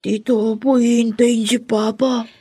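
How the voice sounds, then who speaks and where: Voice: medium pitch, flat delivery, measured pace, warm tone, husky. An actor playing a young, innocent child in a radio drama, plaintively missing an absent father and speaking simply and politely. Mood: wistful, waiting.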